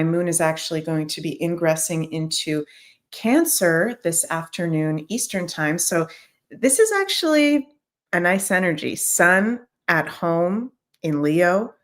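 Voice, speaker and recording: A woman hosting a daily astrology show, chatting casually to her viewers as "you guys", her voice a little scratchy today. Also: fairly low pitch. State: slightly scratchy voice.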